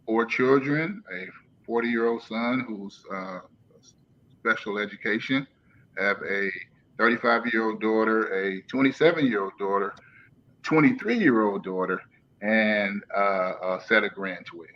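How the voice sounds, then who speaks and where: A man of sixty-two from Detroit with an American accent, giving a measured, halting personal account as a panel guest. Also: fairly low pitch. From Detroit, Michigan, USA. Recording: dull lo-fi line with clear background noise.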